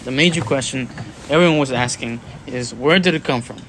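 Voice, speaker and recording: A young man co-hosting a casual podcast, deadpan and mock-serious, often clearing his throat. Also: medium pitch, a little fast. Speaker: podcast co-host. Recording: noisy background.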